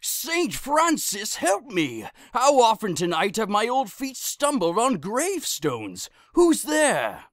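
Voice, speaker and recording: A woman's voice: on the high side, huge pitch swings, slow pace, hardly any pauses, no room sound, clean studio sound, full character voice. A woman playing an anxious, kindly old friar in an audio drama, fretful and exclamatory. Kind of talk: audio drama acting of modernized Shakespeare.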